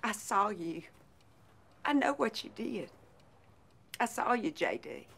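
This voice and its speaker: Betrayed housewife, gravelly edge, forceful, folksy, defiant, dramatic film. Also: female voice, medium pitch, lively intonation, takes it slowly, full character voice. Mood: bitter, accusing. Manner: plain-spoken, folksy, emotionally intense. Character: wronged housewife, wounded, defiant, confronting her cheating husband. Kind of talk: raw film drama acting.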